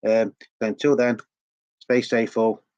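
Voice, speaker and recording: A man's voice: lowish voice, little pitch movement, measured pace, a little mellow, lo-fi sound, a little hiss or hum. A middle-aged man with a sore throat, chatting in a rambling, repetitive way as host of a record collecting vlog. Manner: rambling, chatty, repeats words often. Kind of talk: casual vlog chat.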